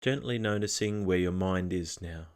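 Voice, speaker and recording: Male meditation guide, deep register, gentle and measured scripted narration. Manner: calm, measured, gently instructing.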